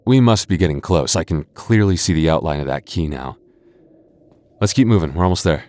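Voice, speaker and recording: Deep-voiced stammering boyfriend roleplay man. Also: lively intonation, a little fast, darkish tone, no room sound. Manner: stammering, nervous restarts; playful, teasing.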